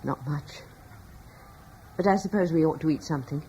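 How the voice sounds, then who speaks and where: An actress playing a gentle, devout Roman-era wife who speaks softly and earnestly in an old radio play. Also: female voice, low voice, normal speed, a little hiss or hum, lightly acted. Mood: quiet. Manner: soft, earnest, quietly faithful. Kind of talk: 1950s-60s radio drama acting. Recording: dull old radio broadcast.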